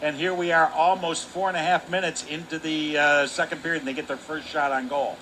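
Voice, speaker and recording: A man in a broadcast booth giving hockey color commentary, with relaxed, analytical remarks full of fillers and restarts. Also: fairly high pitch, a little fast, slight background noise. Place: broadcast booth above an ice rink.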